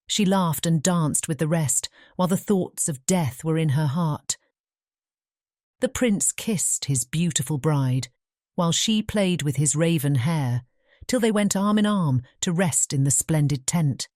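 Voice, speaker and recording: Woman, British accent, audiobook narrator, scripted fairy tale reading, deep-set pitch, dark warm tone. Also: no room sound, clean studio sound.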